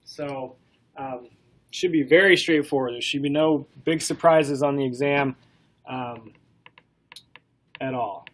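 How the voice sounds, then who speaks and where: A man with a cold and a stuffy nose, giving a classroom lecture in a relaxed way, with many ums and questions to the class. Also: medium pitch, slow pace, pauses often. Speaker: college instructor.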